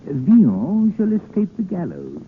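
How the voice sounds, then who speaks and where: An actor playing a sly, vengeful French king with halting, deliberate theatrical delivery in 1930s radio style. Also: sits high, wildly swooping pitch, full character voice. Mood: sly scheming. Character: sly, vengeful king of France toying with a poet. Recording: noisy, narrow-band 1930s radio broadcast.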